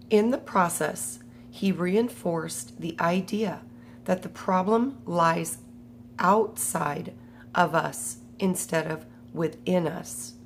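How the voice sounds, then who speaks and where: Woman reading aloud while sick with a cold, low voice, unhurried and halting, faint hum behind. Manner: slow, halting, with fillers. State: has a cold, voice strained. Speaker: spiritual book reader. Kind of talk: reading a book aloud with personal commentary.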